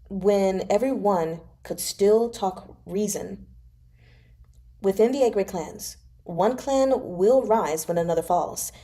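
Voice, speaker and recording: Female reader as calculating male adviser in a novel, mellow, composed, persuasive. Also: medium pitch, close to an everyday voice. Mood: sorrowful. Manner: measured, reasoning, unruffled. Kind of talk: dramatic novel read-aloud.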